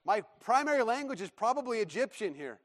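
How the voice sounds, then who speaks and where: High-voiced pastor in a reverberant hall. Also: male voice, big pitch swings, fairly quick. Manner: enthusiastic, breaks into laughter. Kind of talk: sermon. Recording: long reverb of a large hall.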